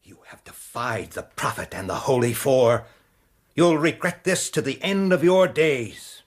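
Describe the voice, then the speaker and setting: Haughty, medium-pitched young suitor. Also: male voice, expressive, a little slow. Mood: threatening. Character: arrogant, threatening wealthy young church suitor. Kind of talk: radio drama acting.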